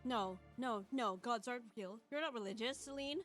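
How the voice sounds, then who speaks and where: A woman's voice: fairly high pitch, normal speed, a bit bright, soft background music. A woman reading a visual novel's first-person narration aloud on a stream, with casual asides.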